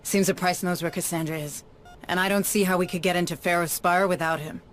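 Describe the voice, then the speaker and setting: A woman's voice: fairly low pitch, steady tone, a little fast, lightly acted. An actress playing a resolute, principled woman in command of a sci-fi rescue mission in a video game. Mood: firm. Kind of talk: cinematic video game acting. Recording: loud music under dialogue.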